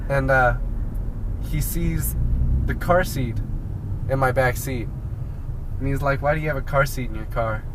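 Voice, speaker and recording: A man's voice: medium pitch, a little slow, pauses often. A young American man telling a story casually and dramatically, acting out the dialogue as he goes. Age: young adult. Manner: dramatic, acts out quoted dialogue. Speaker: guest storyteller on a friend's vlog. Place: inside a moving car. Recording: loud car and road noise.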